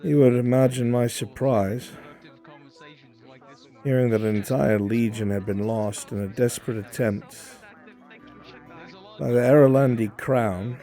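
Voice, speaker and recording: A man playing a solemn, philosophical imperial in a fantasy roleplay, speaking in a measured, brooding way with deliberate pauses. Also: mid-range voice, steady tone, dark tone, close to an everyday voice. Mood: grave, brooding. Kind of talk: improvised fantasy roleplay acting. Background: background music.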